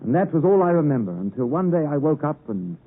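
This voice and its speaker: An actor playing an earnest, passionate young English gentleman who narrates his story in a theatrical 1950s radio drama style. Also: fairly high pitch, big pitch swings, fast. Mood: haunted, reflective. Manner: theatrical, emotive. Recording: vintage 1950s radio, tinny, music behind.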